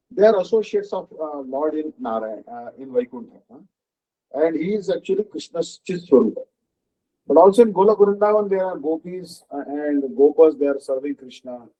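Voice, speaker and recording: A man giving a devotional discourse as a spiritual teacher, halting often and checking in with the listeners. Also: on the high side, dark tone. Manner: halting, with frequent "uh" and questioning "huh?". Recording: dull lo-fi recording.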